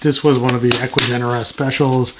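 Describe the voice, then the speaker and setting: A man's voice: medium pitch, little pitch movement, fairly quick, background noise. A man with a sore throat, chatting casually and frankly to camera as the host of a plant channel. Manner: rambling, frank, self-mocking.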